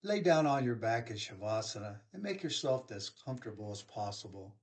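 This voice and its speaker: A man leading a guided yoga nidra relaxation, giving soothing, unhurried instructions with pauses. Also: fairly low pitch, sing-song.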